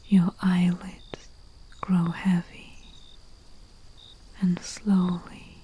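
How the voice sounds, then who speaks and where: Woman acting as gentle humble herbalist, breathy whisper, dark mellow tone, lowish pitch, hushed ASMR roleplay, soothing. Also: level tone. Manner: slow, hushed, soothing, with long pauses. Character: humble female herbalist, soothing and deferential servant to nobility. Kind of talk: soft-spoken ASMR roleplay, guided relaxation. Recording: faint hiss with chirping night crickets. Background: crickets and night insect ambience.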